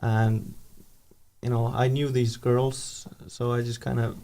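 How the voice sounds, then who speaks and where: A man, the band's founder, talking in a relaxed, unhurried way in a radio studio. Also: mid-range voice. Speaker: band founder guest. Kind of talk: casual interview chat.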